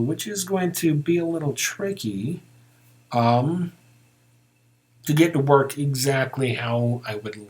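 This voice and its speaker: A man with a medium-pitched voice leads a software tutorial. He talks casually, thinking out loud as he works through each step in an informal way. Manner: informal, thinking aloud while working.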